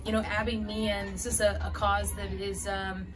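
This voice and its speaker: A woman's voice: mid-range voice, level tone, moderate pace. Earnest woman coach, music behind. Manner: earnest, fillers and restarts, gestures while talking. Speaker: head softball coach. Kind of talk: postgame press interview. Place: indoor hallway by a window.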